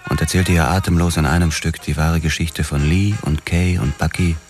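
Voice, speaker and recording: An actor playing a brooding, obsessed police detective, narrating his own noir story in a measured, sombre manner. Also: very deep voice, steady tone, faint music behind. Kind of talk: scripted noir first-person narration.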